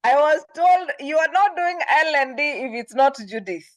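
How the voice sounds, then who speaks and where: Female host, Kenyan accent, high voice, effusive promotional delivery, close dry sound. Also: animated, normal speed, no room sound. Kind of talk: enthusiastic event announcements and guest introduction.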